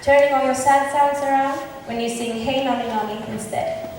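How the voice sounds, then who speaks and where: A woman's voice: high-pitched, fairly even intonation, normal speed, close to an everyday voice. A woman acting male roles in an amateur school production of a modernized Shakespeare comedy. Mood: reciting. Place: large echoey indoor hall. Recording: very echoey hall from far off, hissy.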